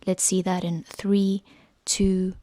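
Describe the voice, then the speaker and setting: Chatty woman reviewing mics, flat delivery. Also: on the low side, takes it slowly. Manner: chatty, self-correcting, a bit scatterbrained. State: recovering from illness. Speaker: mic reviewer. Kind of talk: casual product review. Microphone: desk condenser mic, close. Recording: mic tests with varying reverb and noise.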